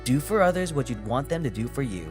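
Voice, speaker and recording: A man playing a gentle, cheerful, patient young savior in an audio drama, with a kindly, reassuring manner. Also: mid-range voice, very animated, fast talker, close to an everyday voice. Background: music.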